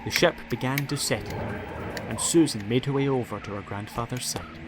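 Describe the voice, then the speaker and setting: A man's voice: medium pitch, brisk. A man narrating a scripted audiobook story in a dramatic storytelling style. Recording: music behind the voice.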